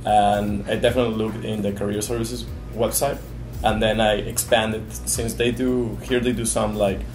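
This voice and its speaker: A man's voice: lowish voice, flat delivery, normal speed, darkish tone. A man in his twenties with a Venezuelan accent and Spanish as first language, giving an interview as a graduate student. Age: 20s. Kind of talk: interview testimonial. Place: small indoor meeting room. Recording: roomy echo, music underneath.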